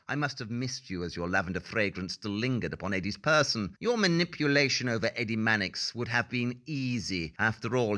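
A shrewd male detective in an audio drama, bright and forceful. He is supremely self-assured and speaks with precise, theatrical diction, his pitch swinging widely. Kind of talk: audio play acting.

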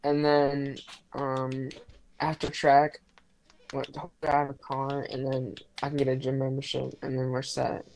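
A man's voice: medium pitch, monotone, moderate pace, gravelly, darkish tone, a little hiss or hum, low-quality recording. A teenage boy chatting casually with a friend while they play a game together. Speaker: co-player. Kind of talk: casual gaming chat. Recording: voice chat with faint music behind.

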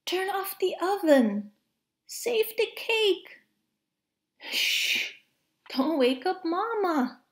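An excitable, high-voiced little monkey child from a storybook, acted out by a woman reader. The manner is childlike and urgent, fretful and given to shushing, with measured pacing. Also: exaggerated voice. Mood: hushing.

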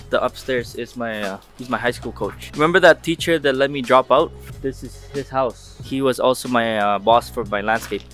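Young man, casual house-tour vlog, mid-pitched voice, handheld camera, music underneath. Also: a bit bright. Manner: laid-back, chatty, talks to the viewers. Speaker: vlogger. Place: empty basement suite and snowy yard. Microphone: handheld camera at arm's length.

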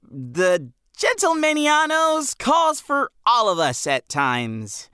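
A man voicing a droll, pompous teenage boy who speaks in a drawn-out, mock-formal way for an audiobook. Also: extremely high pitch, huge pitch swings, sharp tone, smooth, no room sound, studio quality, voice pushed up, heavily acted. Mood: grandiose.

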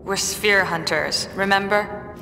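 A woman's voice: mid-range voice, takes it slowly, sharp tone, long reverb tail, faint music behind. An actress playing a cool, tough young woman treasure hunter in a video game, dry, curt and matter-of-fact.

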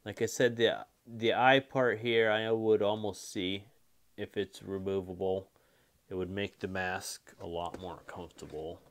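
A man with a fairly low voice presents a toy review. He speaks informally and easily, like someone chatting to viewers during an unboxing, often restating what he just said. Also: fairly bright tone. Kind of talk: casual unboxing and review commentary.

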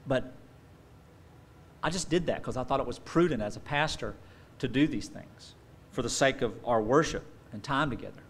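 A man's voice: mid-range voice, moderate pace, long pauses, husky. A man in his forties, a pastor preaching a sermon from a lectern on a church stage, telling stories and acting out the voices of people he quotes. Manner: animated storytelling, acts out quoted voices. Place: church stage with dark curtain. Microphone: stand mic at lectern.

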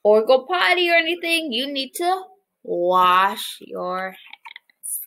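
A young woman preschool teacher reading a picture book aloud to small children and explaining it, with animated, exaggerated expression. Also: high-pitched, slow, a little hiss or hum. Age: young adult. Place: small living room, indoors, on a couch.

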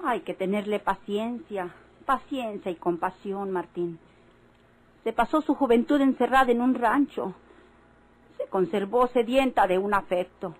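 A woman's voice: medium pitch, unhurried, natural voice, rough recording. An actress playing an emotional, pleading Mexican mother and wife in an old-time radio melodrama. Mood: gentle pleading. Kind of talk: golden-age radio drama acting.

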